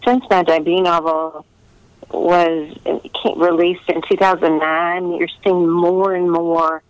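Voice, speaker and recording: A middle-aged woman giving earnest, conversational interview answers, pausing often to find her words over a remote line. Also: fairly low pitch, little pitch movement, clean tone, no echo, dull audio. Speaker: interviewed historical novelist.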